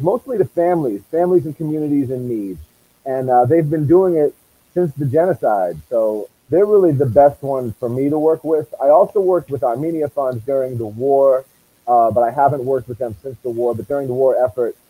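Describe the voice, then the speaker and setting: A man with a Boston accent speaks as a musician being interviewed. His voice is very dark and relaxed, with no edge to it, and he talks conversationally at a middling pitch. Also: rough recording. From Massachusetts, USA. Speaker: musician guest.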